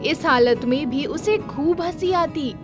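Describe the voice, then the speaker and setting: A woman's voice: high-pitched, slow, music in the background. A woman narrating a children's story from a script.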